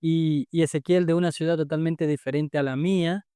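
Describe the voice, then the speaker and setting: Venezuelan man, cheerful faith podcast co-host, fairly high voice, dry close mic. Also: steady tone, a little fast, warm tone, clean tone, no echo. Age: adult, married. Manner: cheerful, affectionate, enthusiastic.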